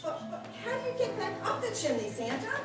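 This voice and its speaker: Excitable, squeaky little baby animal. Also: female voice, a little fast. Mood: curious. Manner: exclaiming, questioning, wide-eyed. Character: excitable, curious baby animal, small child-like critter. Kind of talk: children's puppet show acting. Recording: echoey, distant, loud music behind.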